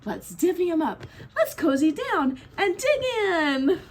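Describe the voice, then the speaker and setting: A woman's voice: extremely high pitch, very animated, measured pace, slight background noise, full character voice. A woman voicing a friendly, cheerful, folksy badger in a children's storybook. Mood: eager, friendly. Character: friendly grinning badger bringing snacks in a storybook.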